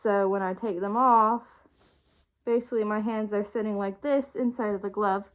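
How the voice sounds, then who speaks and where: A woman's voice: mid-range voice, steady tone, moderate pace, low-quality recording. A woman in her twenties getting over a cold, sniffly, chatting casually and rambling with ums and small laughs as a video creator. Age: 20s. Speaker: content creator.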